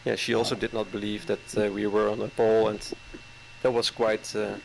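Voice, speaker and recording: A man around forty, a caller chatting hesitantly with many fillers and soft chuckles. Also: fairly low pitch, flat delivery, brisk, creaky, slight background noise, poor audio. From Netherlands. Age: 40s. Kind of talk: casual chat.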